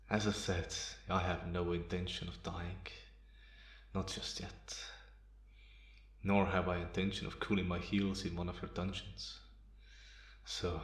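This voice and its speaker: Man playing a deep, brooding centuries-old vampire. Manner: slow, brooding, measured delivery with pauses. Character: centuries-old brooding male vampire, vengeful, bitter, guarded. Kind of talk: ASMR roleplay, dramatic monologue to the listener. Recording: roomy, echoing sound.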